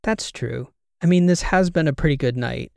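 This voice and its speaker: A man voicing a sharp-tongued female podcast co-host who talks with dry sarcasm. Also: on the high side, moderate pace, vocal fry, smooth, no echo. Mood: sardonic.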